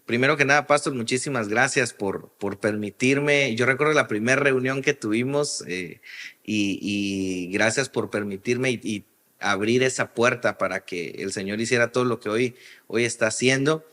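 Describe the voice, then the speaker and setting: Male podcast guest, mid-pitched, casual storytelling, halting with repeated phrase starts. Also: bright, forceful tone. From Guatemala. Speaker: guest, music producer and worship singer. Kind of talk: casual interview, personal storytelling.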